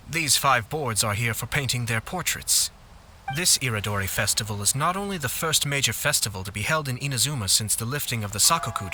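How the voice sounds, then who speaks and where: A man's voice: mid-range voice, close to an everyday voice. A man playing a courteous, dutiful young noble official in a video game, speaking formally and with composure.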